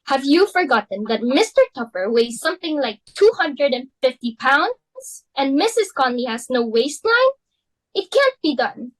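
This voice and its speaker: A woman's voice: high-pitched, sing-song, moderate pace, low-quality recording. A woman playing a domineering, socially ambitious mother and hostess in a dramatic reading of a stage play, with an imperious, melodramatic, scolding manner. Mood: scolding, bossy.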